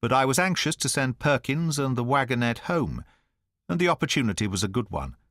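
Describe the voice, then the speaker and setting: A man narrating an audiobook in the first person, at a measured, even storytelling pace. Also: mid-range voice, dry recording, clean studio sound.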